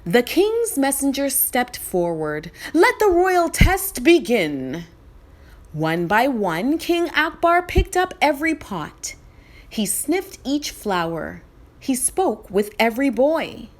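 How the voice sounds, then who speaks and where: A woman reading a children's story aloud in expressive scripted narration. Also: high voice, slow pace.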